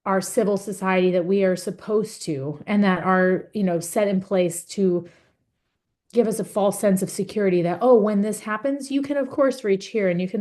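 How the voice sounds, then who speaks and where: A young woman talks through a video call in a mid-pitched voice. She speaks earnestly but haltingly, pausing on fillers and restarting her sentences as she feels her way forward. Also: warm tone. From United States. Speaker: panelist. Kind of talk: webinar testimony.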